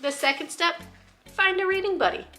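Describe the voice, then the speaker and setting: A woman teacher giving an instructional talk to other educators, explaining things clearly in an encouraging manner. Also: very high voice, normal speed, pushed and bright, faint music behind.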